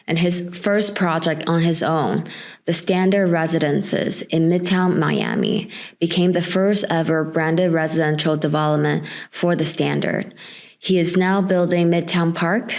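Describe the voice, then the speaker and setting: A young woman hosts an interview podcast with a low, settled voice. She reads a prepared introduction first, then moves on to long questions for her guest. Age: young adult. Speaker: podcast host, student interviewer.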